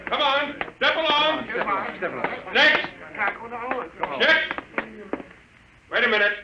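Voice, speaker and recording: An actor playing a brusque, officious, impatient guard in a 1950s radio drama. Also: male voice, extremely high pitch, slow pace, reverberant, heavily acted. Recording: noisy old radio recording.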